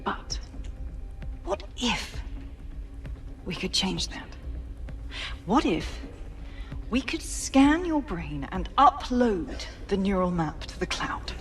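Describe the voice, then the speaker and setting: A polished female tech executive from a video game, presenting her vision with assurance. The voice sits a little way from the microphone, with soft music behind. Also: mid-range voice, big pitch swings, slow pace, long pauses, recorded from a distance. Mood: visionary, assured. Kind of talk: scripted keynote presentation.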